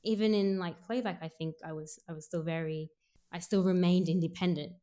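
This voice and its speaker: A young woman singer from Sri Lanka, raised in Australia, speaks softly in a relaxed interview. Her voice sits low for a woman, and she thinks aloud, filling her sentences with little fillers as she circles her point. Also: brisk. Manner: soft-spoken, frequent "like" fillers, thinking aloud. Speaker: singer, guest. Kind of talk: casual interview conversation.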